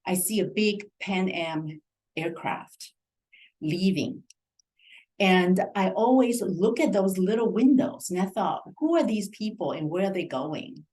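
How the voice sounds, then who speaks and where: A woman's voice: mid-range voice, animated, a little slow, darkish tone. A middle-aged woman from Taiwan telling a personal story as a panelist at an online event. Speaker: panelist, career advisor. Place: virtual event video call.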